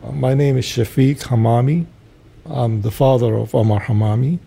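A man's voice: medium pitch, steady tone, moderate pace, creaky, mellow, slightly rough. A man in his sixties from Syria, speaking English with a Syrian Arabic accent, earnestly recalling his youth in an interview. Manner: earnest, reflective storytelling. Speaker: interviewee, father. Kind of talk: interview recollection.

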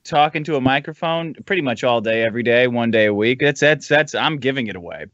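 A man's voice: medium pitch, expressive, quick pace, a bit bright, no echo, lo-fi sound. A man appearing as a podcast guest, ranting casually and comedically in a crude, sardonic, profane way. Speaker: podcast guest, show host himself.

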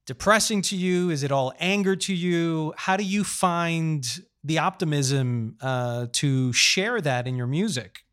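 A man's voice: fairly high pitch, measured pace, hardly any pauses, a bit bright, clear, resonant tone. A man working as an interviewer, asking questions in a conversational style.

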